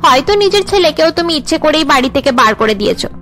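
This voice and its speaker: A woman's voice: sits high, lively intonation, fast talker, fairly bright tone, soft background music, pitched above the performer's own voice. A woman voicing a blunt older auntie in a cartoon, chiding and moralizing with emphatic delivery. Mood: taunting, scolding. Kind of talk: melodramatic cartoon dialogue.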